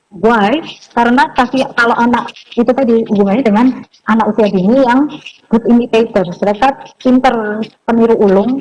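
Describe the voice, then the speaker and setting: A woman's voice: a little high, darkish tone, a little hiss or hum, rough recording. A woman giving an informal training lecture as a university lecturer, conversational and mixing in English terms.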